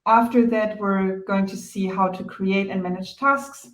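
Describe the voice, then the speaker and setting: A woman with a German accent opens and steers an online training session, friendly and organized. Her middle-pitched voice carries some room echo over the video call, and small fillers dot her speech. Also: steady tone, measured pace. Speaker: product marketing host. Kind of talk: webinar presenting. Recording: echoey room.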